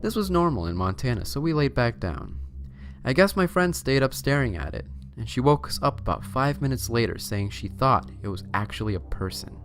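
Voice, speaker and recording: A man's voice: mid-range voice, very animated, a little fast, slight background noise. A man hosting and reading a scary story as narration, with a theatrical, suspenseful delivery. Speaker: host and story reader.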